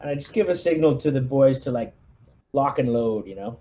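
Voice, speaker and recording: Mellow-voiced man at a game table. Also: mid-range voice, animated, brisk. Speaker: player in a tabletop roleplaying game. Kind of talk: casual game-table chat.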